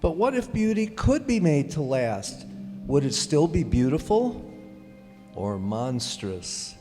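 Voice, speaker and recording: A man reading a scripted literary introduction with solemn, deliberate phrasing. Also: on the high side, big pitch swings, over music. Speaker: introducer of the story. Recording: echoey hall, long reverb.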